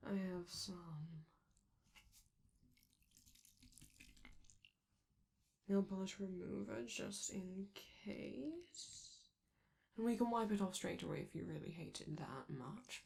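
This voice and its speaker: A woman voicing a flamboyant, doting robot celebrity in an intimate ASMR roleplay, speaking theatrically and affectionately with drawn-out phrases and a sometimes breathy, creaky voice. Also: medium pitch, darkish tone, a little hiss or hum. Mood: gentle, attentive. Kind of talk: ASMR comfort roleplay, addressing the listener.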